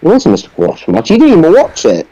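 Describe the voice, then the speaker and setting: A man debating casually on a podcast, quick to contradict and argue his point. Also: fairly high pitch, huge pitch swings, warm tone, muffled recording. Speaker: podcast panelist.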